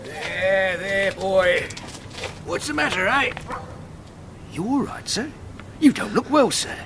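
A man puts on a gruff, kindly older workman with a voice pitched very high and swinging widely. He sounds slow and rough as he coaxes a stranger along. He is set a little back from the mic, against a faint hiss. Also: expressive, heavily acted. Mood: concerned.

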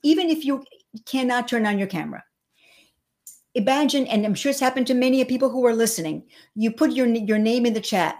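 A woman's voice: on the high side, a little fast, a little mellow. A woman born in Cuba whose first language is Spanish, a speaker coach talking in an interview over a video call. She talks animatedly, with frequent restarts, and jumps her voice up when acting out quotes. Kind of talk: livestream interview.

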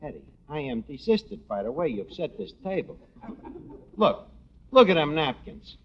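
An actor with a New York accent playing a brash, wisecracking tavern manager who mangles big words in mock-refined style, in 1940s radio comedy. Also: male voice, fairly high pitch, big pitch swings, normal speed, slightly rough, muffled recording, exaggerated voice. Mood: fussy, exasperated. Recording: noisy vintage radio broadcast, studio audience.

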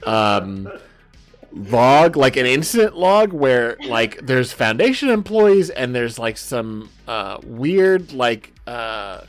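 A man's voice: medium pitch, big pitch swings, slow. Guy podcasting, kinda rambly and hyped, swears a lot, mid-range voice, bit of music behind. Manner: enthusiastic, profane, rambling. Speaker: podcast co-host. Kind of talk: casual book-club style chat.

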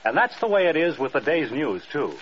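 A male American announcer from old-time radio with a fairly high, animated voice. He reads scripted copy with polished emphasis. The broadcast is dull and a little noisy. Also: a little fast. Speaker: radio network promo announcer.

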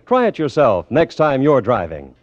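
A dull, band-limited old radio recording of an American man announcing. His pitch moves expressively through polished, measured scripted lines, in the manner of a 1950s show presenter. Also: huge pitch swings, darkish tone, no room sound.

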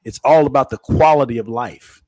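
A man's voice: medium pitch, normal speed, warm tone, no echo, poor audio. A middle-aged man co-hosting a talk show, speaking emphatically in a casual preaching style and often repeating words. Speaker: podcast co-host.

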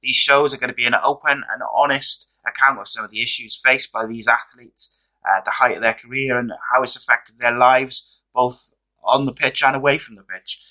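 A man hosting a podcast in relaxed, friendly chat, hoarse as he loses his voice. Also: medium pitch, normal speed, low-quality recording. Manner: relaxed, chatty, friendly with fillers. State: losing his voice. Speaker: podcast host. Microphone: podcast microphone.

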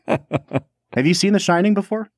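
A chatty man co-hosting a podcast, his pitch bouncing up and down as he talks. He pokes fun at himself and laughs a lot. The sound is dry and close, with no room around it. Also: animated, slow, darkish tone, dry recording. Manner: chatty, self-deprecating, laughs often. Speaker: podcast co-host. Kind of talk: casual podcast chat.

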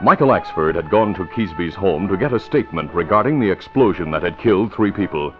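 A man's voice: low-pitched, lively intonation, a little fast, over music. A man reading scripted lines as an old-time radio announcer and narrator. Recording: muffled, faintly noisy vintage radio recording.

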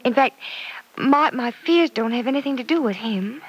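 The young American heroine of an afternoon radio serial, confiding haltingly and earnestly at the kitchen table about love and her own doubts. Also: on the high side, animated, husky, low-quality recording. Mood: troubled, earnest. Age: young adult. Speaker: radio drama actress. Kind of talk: old-time radio soap opera acting. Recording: vintage radio transcription.

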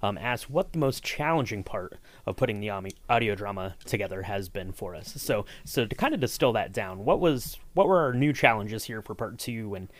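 A man's voice: on the low side, animated, a little fast, few pauses. A man hosting a casual behind-the-scenes interview, chatty and explanatory, often checking in with the listener. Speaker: podcast host interviewing a writer.

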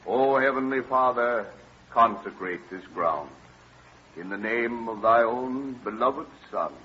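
An actor playing a solemn frontier preacher intoning a reverent prayer in an old radio drama. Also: male voice, mid-range voice, slow pace, bright, forceful tone. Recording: muffled, faintly hissy vintage radio recording.

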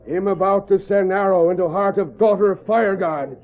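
An actor playing a solemn Native chief in an old western radio serial, speaking halting broken English with grave pronouncements. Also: male voice, high voice, lightly acted. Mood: urgent, fierce. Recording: tinny, band-limited old radio recording.

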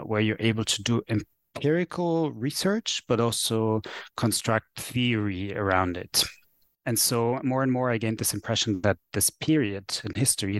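A man's voice: on the low side, no echo. A man in his thirties from Austria, a native German speaker, answering interview questions thoughtfully with many fillers and restarts. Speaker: guest author, sociologist.

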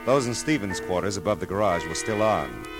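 Dry, wisecracking American detective, low register, vintage radio crime show with some music underneath. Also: male voice, animated, fairly quick. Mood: dry narration. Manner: wry, clipped, hard-boiled. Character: dry, persistent, wisecracking male private detective. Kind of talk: 1940s-50s radio detective acting with first-person narration.

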